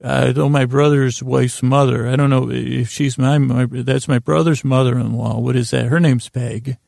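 Creaky-voiced man rambling through a bedtime podcast. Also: medium pitch, steady tone, a little mellow, no echo, clean studio sound. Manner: meandering, halting, stumbles and repeats words. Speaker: podcast host. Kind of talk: rambling bedtime monologue to lull listeners to sleep.

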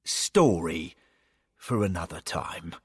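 A man voicing a courteous, worried older gentleman in a story, mild and measured, sometimes mumbling. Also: mid-range voice, huge pitch swings, husky, no room sound, clean studio sound, big-room sound, voice pushed up, full character voice. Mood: mumbled, evasive.

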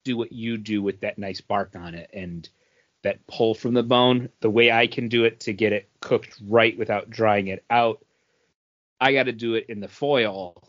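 A man's voice: fairly low pitch, fairly even intonation, normal speed, fairly bright tone, no room sound. Dry, slightly muffled man chatting casually. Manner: frequent throat clearing. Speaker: podcast co-host. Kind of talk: casual chat. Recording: dull, dry lo-fi podcast audio.

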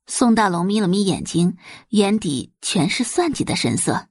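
A woman's voice: mid-range voice, very animated, moderate pace, darkish tone, slightly rough, no echo, studio quality. A woman reading scripted, dramatic audiobook narration as the narrator of a story.

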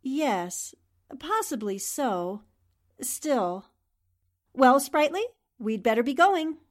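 An actress playing a brisk, determined newspaperwoman in a 1940s-style radio drama, asking questions in a crisp, probing, composed manner. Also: high-pitched, sing-song, heavily acted. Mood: resolute. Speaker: voice actor in an audio drama.

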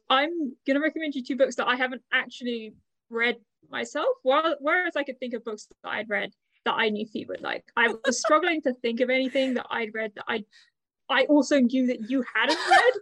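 A woman's voice: sits high, normal speed, a bit bright, no echo. A woman co-hosting a podcast, chatting casually about books in a rambling way. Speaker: podcast co-host. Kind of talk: casual book chat.

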